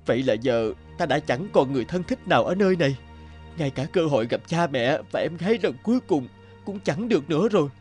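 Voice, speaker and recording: A man's voice: on the high side, lively intonation, a little fast, a little mellow, faint music behind, natural voice. A man voicing a homesick young scholar in a cartoon, speaking in a courtly, archaic way. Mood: bewildered. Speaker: voice actor. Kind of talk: cartoon dubbing.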